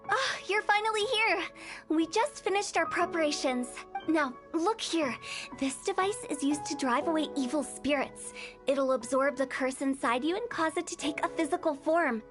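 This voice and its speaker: A woman's voice: high-pitched, moderate pace, lightly acted. A woman playing a playful, mischievous young funeral director in a video game, with theatrical, teasing showmanship. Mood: breezy, confident. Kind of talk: video game character acting. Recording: music behind the voice.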